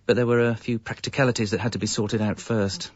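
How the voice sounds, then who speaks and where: A man's voice: lowish voice, level tone, fast, no room sound, lo-fi sound, close to an everyday voice. A British man acting as a weary, frustrated, put-upon father in a radio comedy, with wry, self-pitying delivery. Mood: glum, defeated. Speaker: narrator and lead actor. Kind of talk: radio comedy monologue and dialogue.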